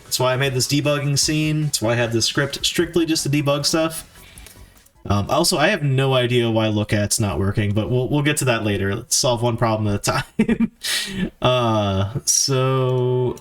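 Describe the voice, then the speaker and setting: A man with a mid-pitched voice streams himself developing a game, with music behind him. He talks casually to viewers and thinks out loud in stops and starts. His manner is informal and self-deprecating. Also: lively intonation, moderate pace. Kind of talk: casual live-stream chat while coding.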